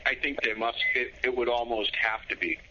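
A man's voice: mid-range voice, fairly even intonation, moderate pace, sharp tone, dull audio, a little hiss or hum. A man appearing as a guest on a talk show, speaking in an easygoing, loosely phrased way. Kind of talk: casual chat, remote guest.

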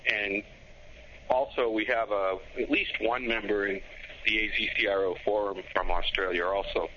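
A man's voice: on the low side, fairly even intonation, normal speed, bright, forceful tone, muffled recording, slight background noise. A man appearing as a guest on a talk show, speaking in an easygoing, loosely phrased way. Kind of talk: casual chat, remote guest.

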